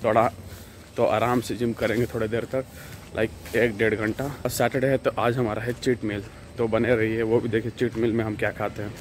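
A man's voice: fairly low pitch, flat delivery, brisk. A young man chatting casually as a vlogger, filming himself on a phone at arm's length around a gym, city streets and a cafe, narrating what he sees. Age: young adult. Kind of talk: casual vlog chat. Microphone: phone camera held at arm's length. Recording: loud street noise behind.

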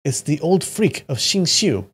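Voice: medium pitch, big pitch swings, unhurried, slightly rough, voice pushed up, exaggerated voice. A narrator voicing a cautious martial-arts fighter, earnest and faintly sarcastic. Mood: earnest warning.

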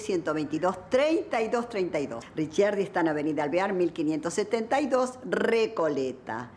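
Animated, lowish-voiced woman in advertisement. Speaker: commercial spokeswoman. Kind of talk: scripted TV advertisement. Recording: clearly noisy, rumbling ad audio.

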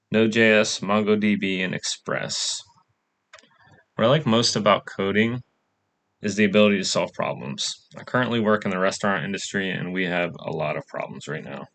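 Young male student demoing his app; low, gravelly, bright tone, casual with fillers. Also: moderate pace. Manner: casual, many "um" fillers, plain walkthrough. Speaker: coding bootcamp student presenting a project. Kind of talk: screen-recorded project demo.

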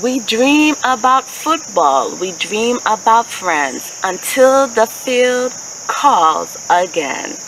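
Slow, high-voiced woman reading to children outdoors. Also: low-quality recording. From Haitian American. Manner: addresses young listeners with questions, enunciated and animated. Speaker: children's story reader, host. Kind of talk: expressive picture book read-aloud for children. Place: outdoors in a leafy park. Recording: steady outdoor ambient noise with insects. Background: insects, birds, outdoor ambience.

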